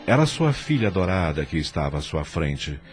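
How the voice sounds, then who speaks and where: A man's voice: deep voice, sing-song, moderate pace. A man reading dramatic scripted narration as the narrator of an audio drama. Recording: loud music under the voice. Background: loud music.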